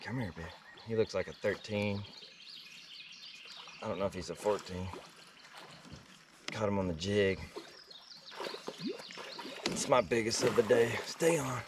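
A man's voice: lowish voice, measured pace, long pauses, noisy background. A man, chatting casually and slangily while fishing from a kayak. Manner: laid-back, slangy. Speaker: kayak angler and vlogger. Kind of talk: casual fishing vlog chat. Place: outdoors in a kayak on a river. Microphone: action camera mounted on the kayak. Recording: outdoor action camera, distant at times.

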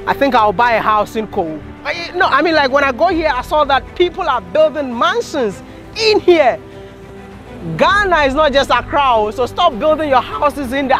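Excitable young man, high lively voice. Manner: excitable, joking, chatty. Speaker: travel vlogger host. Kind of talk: casual vlog talk to camera. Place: outdoors on a hillside.

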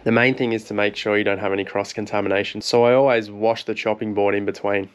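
Easygoing Australian man, smooth low voice. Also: fast, muffled recording. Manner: relaxed, plain explaining. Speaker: fishing vlogger. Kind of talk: instructional cooking voice-over.

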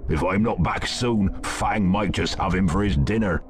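A man playing a huge, kindly, gruff giant gamekeeper with a West Country accent in a video game, giving folksy cautions. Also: deep voice, normal speed, soft background music, close to an everyday voice. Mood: cautioning, kindly. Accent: English West Country. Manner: plain-spoken, cautioning, folksy. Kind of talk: video game character dialogue.

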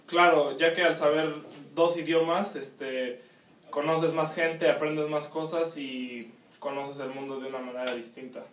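A man's voice: a little high, fairly even intonation, unhurried. A young man from Mexico giving brief, matter-of-fact interview answers in a small indoor room. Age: young adult. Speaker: student interviewee. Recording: distant echoey room, faint noise.

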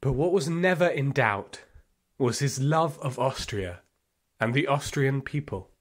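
An actor playing a reflective football fan, narrating his memories of the Nazi era in an audio play in a measured, wry way. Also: medium pitch, expressive. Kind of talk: first-person dramatic storytelling in an audio play.

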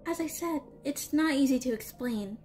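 A woman voicing an anxious, lovestruck teenage schoolgirl in a comic dub, flustered and expressive. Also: high voice, moderate pace, soft background music, natural voice. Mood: flustered, downcast. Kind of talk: animated-style comic dub acting.

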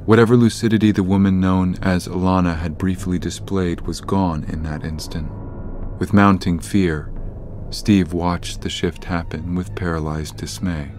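A man narrating a scripted horror audio drama in a measured, literary storytelling manner. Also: sits low, fairly even intonation, darkish tone, a little hiss or hum.

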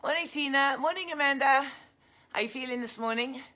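A woman's voice: high voice, a little fast, pushed and bright. A woman in her forties with an English accent, leading a live workout and counting reps while she exercises. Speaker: fitness instructor. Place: large indoor gym. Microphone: fixed camera a few metres off.

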